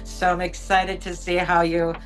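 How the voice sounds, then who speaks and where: A woman's voice: on the low side, completely flat delivery, moderate pace, soft background music, low-quality recording. A woman hosting an online meetup, upbeat and chatty, talking about astrology on a video call. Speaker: meetup host. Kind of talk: casual group chat on a video call.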